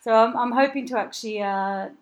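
A woman's voice: on the high side, fairly quick. A woman co-hosting a podcast, chatting and laughing at herself while shivering in a cold apartment full of moving boxes. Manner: laughing, self-deprecating, storytelling. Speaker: podcast co-host. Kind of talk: casual chat.

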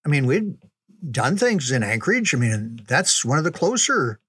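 An older man reminiscing casually in a folksy, unhurried, conversational way, as a storyteller. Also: medium pitch, big pitch swings, a bit bright, husky, no echo, clean studio sound. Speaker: storyteller recalling ministry memories.

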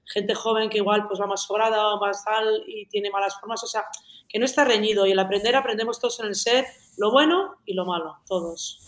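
A woman's voice: mid-range voice, reverberant. A woman who works as an assistant director, talking emphatically and insistently in a casual panel chat.

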